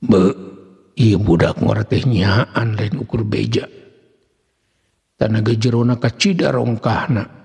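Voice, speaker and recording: A man's voice: fairly low pitch, slow pace, vocal fry, long pauses, a little mellow, husky, exaggerated voice. A man voicing a menacing warrior who wields tiger magic, snarling and muttering in a Sundanese accent in a folk tale. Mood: astonished, brooding. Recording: long hall-like reverb.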